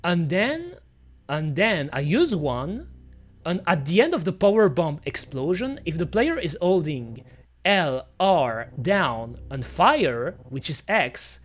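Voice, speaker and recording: Belgian-accented, French-native streamer; chatty casual game commentary, very animated pitch. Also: slow. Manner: chatty, talks to chat, lots of "you know" and "pretty much".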